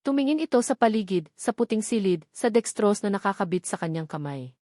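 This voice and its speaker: A woman's voice: medium pitch, animated, fairly quick, warm tone, no room sound, studio quality. Solemn woman narrating dramatic love story.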